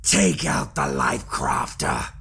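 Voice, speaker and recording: A woman voicing a brutal armoured male villain in a fantasy audio drama, slow, rasping and cruelly taunting. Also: rumbling low, huge pitch swings, fairly bright tone, voice pushed down, full character voice. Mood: bellowing command. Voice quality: gravelly, rasping.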